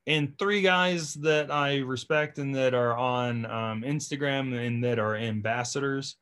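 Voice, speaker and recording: A man's voice: medium pitch, a little slow, a bit bright, smooth. A man hosting a podcast in a casual, chatty style, talking emphatically and often checking in with "right?" and "you know". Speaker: podcast host.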